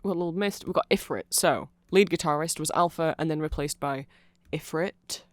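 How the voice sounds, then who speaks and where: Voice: mid-range voice, normal speed, no room sound. A person of unclear sex giving a rambling ASMR explanation of fan lore, often getting flustered, trailing off and correcting themselves with amused enthusiasm.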